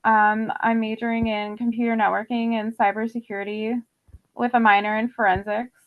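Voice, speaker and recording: A young woman introduces herself on a call, and the audio sounds thin and phone-like. Her voice sits a little high and very flat, and she pauses often on fillers. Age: young adult. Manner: hesitant, with fillers. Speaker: student. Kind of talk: self-introduction.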